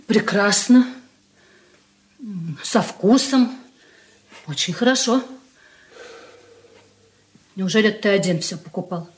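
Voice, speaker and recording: Actress as married daughter: medium pitch, careful and wary, period radio drama. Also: female voice, slow, long pauses, close to an everyday voice. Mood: wry, careful. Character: grown married daughter, wary of her overbearing brother. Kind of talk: 1980s Soviet radio play acting.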